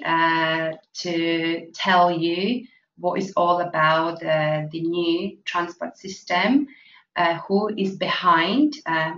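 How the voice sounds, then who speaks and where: A woman from Latvia presenting an investment opportunity, speaking halting full of fillers. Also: fairly low pitch, a bit bright. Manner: halting, many fillers, non-native grammar. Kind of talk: promotional presentation. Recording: echoey, distant, dull lo-fi with faint noise.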